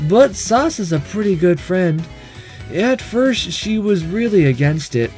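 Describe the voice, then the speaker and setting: A man's voice: high voice, darkish tone. A man voicing a sleepy, detached schoolgirl who narrates a visual novel in a weary, blunt, matter-of-fact way.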